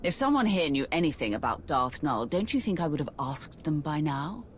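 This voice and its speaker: A woman's voice: low voice, sing-song, moderate pace, a little hiss or hum. An actress playing a curt, skeptical female Sith warrior in a video game, dry and impatient.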